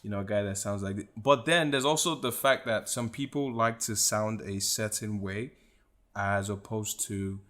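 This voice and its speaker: Low-voiced hesitant podcast co-host man. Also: moderate pace, fairly bright tone. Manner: hesitant, many fillers, backchannels a lot. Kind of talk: casual chat.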